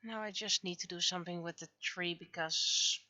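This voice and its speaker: A woman's voice: fairly low pitch, fairly even intonation, moderate pace, pushed and bright, lo-fi sound. A woman livestreamer chatting casually while she draws, often muttering to herself as she works. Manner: mutters to self while working. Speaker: livestreamer and webcomic artist.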